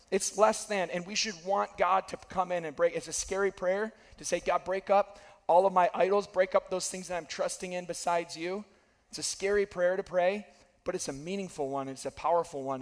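High-voiced pastor, hoarse from a lost voice. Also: male voice. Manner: earnest, repeats key phrases for emphasis. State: losing his voice, slightly hoarse. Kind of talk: sermon. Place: large church stage with dark backdrop. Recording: long hall reverb.

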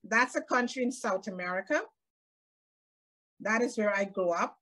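A woman's voice: medium pitch, moderate pace, a bit bright. A middle-aged woman from Guyana with a Guyanese accent, a jovial podcast guest chatting on a video call from a room at home. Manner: jovial, laughs often. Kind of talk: casual interview chat.

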